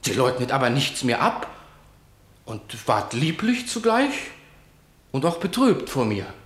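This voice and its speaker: Animated guard giving his report. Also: medium pitch, a little slow, roomy sound. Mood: solemn, troubled. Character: agitated guard reporting a capture to his king. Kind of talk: stage-like verse narration in a radio play.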